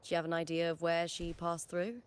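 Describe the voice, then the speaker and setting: Woman acting a confident, low-voiced warrior guide. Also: level tone, a little fast, clear, resonant tone, close to an everyday voice. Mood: matter-of-fact. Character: confident female warrior and local guide, friendly. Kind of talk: scripted video game dialogue.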